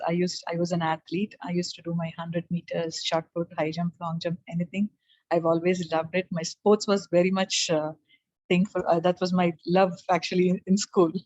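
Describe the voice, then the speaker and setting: A middle-aged woman from South India whose first language is Telugu, speaking softly over a video call with many fillers as she shares her personal story. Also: lowish voice, no echo. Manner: soft-spoken, rambling, with frequent fillers and small laughs. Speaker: guest presenter. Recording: dull lo-fi call audio.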